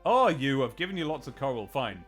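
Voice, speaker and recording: A man's voice: mid-range voice, big pitch swings, a little fast, fairly bright tone, faint music behind. A man streaming a video game late in the day, sleepy but chatty, laughing and retelling scenes from old TV. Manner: chatty, laughing, tells anecdotes with put-on quotes. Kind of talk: casual live-stream chat.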